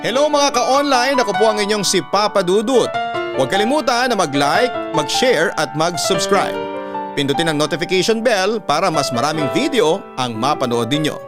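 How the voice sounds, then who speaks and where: A Filipino man reading listener letters as a radio storytelling host, with a smooth, clear voice. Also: sits high, animated, moderate pace. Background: instrumental music.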